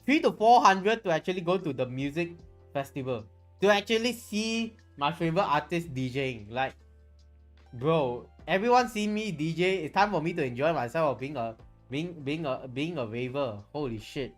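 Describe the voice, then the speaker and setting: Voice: mid-range voice, expressive, moderate pace. A livestreamer with a Malaysian accent, chatting casually in a rambling way and often restarting phrases. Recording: music playing behind the voice. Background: background music.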